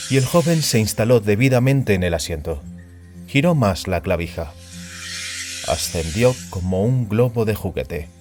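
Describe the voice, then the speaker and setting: Male narrator for scripted audio fiction, lower register, light music bed behind the read. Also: darkish tone.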